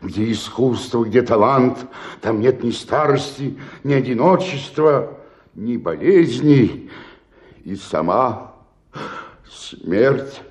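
An actor playing a drunken old provincial stage actor in a radio drama, declaiming grandly and swinging between rapture and despair. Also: male voice, mid-range voice, animated, takes it slowly, a little mellow. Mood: consoling. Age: older. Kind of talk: theatrical radio drama acting with declaimed verse.

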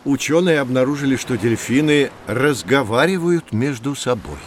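Man doing a friendly keen professor for a kids audio play, animated voice, clear noise behind. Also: medium pitch, normal speed, natural voice. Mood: enthusiastic. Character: kindly, enthusiastic male professor guiding children. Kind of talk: scripted children's educational audio drama.

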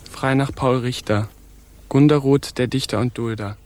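An actor playing a vain, indignant captive poet in a radio drama, haughty and melodramatic. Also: male voice, medium pitch, fairly even intonation, moderate pace, darkish tone, slight background noise, lightly acted. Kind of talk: theatrical radio drama acting.

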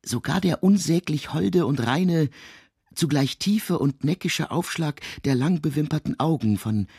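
A man's voice: a little high, expressive, a little slow, creaky, a little mellow, husky, no echo, studio quality, heavily acted. An actor playing a cultivated, fond man in a literary radio drama, speaking courteously and articulately. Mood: admiring.

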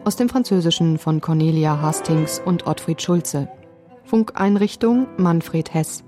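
A woman giving a calm, formal scripted announcement as a radio drama announcer. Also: low-pitched, unhurried, faint music behind. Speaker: announcer reading credits.

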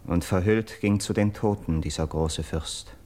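Low-voiced, solemn Greek captive. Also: male voice, measured pace, a little mellow. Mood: solemn, horrified. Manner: declamatory, solemn, dramatic. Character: earnest Greek captive bearing grim news of murder. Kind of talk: classical verse radio drama acting.

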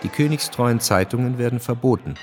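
A man narrating a radio drama from a script in a measured, matter-of-fact way. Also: medium pitch, darkish tone.

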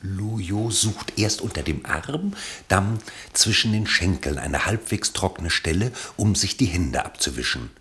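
Ein Mann liest als Erzähler eines Hörspiels einen literarischen Text mit dramatischem Ausdruck. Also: male voice, low voice, expressive, a little slow, fairly bright tone. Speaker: narrator. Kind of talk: scripted radio play narration, literary and dramatic.

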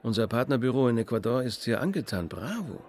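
An actor playing an awkwardly formal, patronising architecture boss in a radio drama, speaking in a halting businesslike way. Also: male voice, mid-range voice, sing-song, a little mellow. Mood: stiffly congratulatory, awkward.

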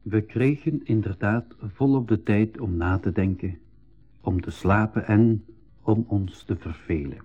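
A man narrating a radio drama as a reflective crew member, in deliberate storytelling style on a slightly dull recording. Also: on the low side, warm tone, soft background music. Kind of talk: scripted first-person radio drama narration.

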